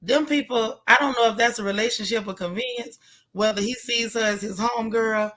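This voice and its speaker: A woman hosting a casual live recap chat, with a loud, gravelly, hoarse voice, greeting viewers by name with folksy slang. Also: fairly high pitch, brisk. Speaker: livestream host.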